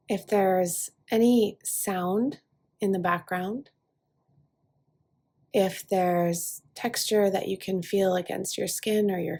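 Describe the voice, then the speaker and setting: A woman guiding a relaxation meditation in a soft, breathy voice, soothing and unhurried, leaving long pauses between short phrases. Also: mid-range voice. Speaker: yoga nidra guide. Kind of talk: guided relaxation meditation, speaking softly to listener.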